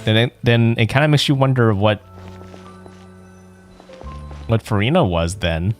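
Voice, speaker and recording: A man's voice: mid-range voice, lively intonation, unhurried. A man giving casual let's play commentary as a streamer, musing in a rambling, questioning way. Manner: rambling, musing, often ends on a questioning "right?". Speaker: streamer playing a game. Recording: game music behind the voice.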